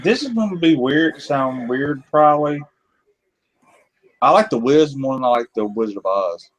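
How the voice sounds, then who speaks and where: A man's voice: medium pitch, lively intonation, normal speed, poor audio. A man with a Southern American accent making dry wisecracks in casual group chat. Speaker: live chat co-host.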